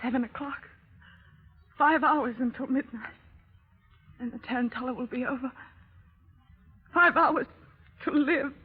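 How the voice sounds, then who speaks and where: An actress playing an anxious young wife, breathless and pleading, in a 1930s radio drama. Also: female voice, high-pitched, a little slow, long pauses, breathy edge, full character voice. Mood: dread. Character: frantic, anxious young wife hiding a secret. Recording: muffled old radio recording, music behind.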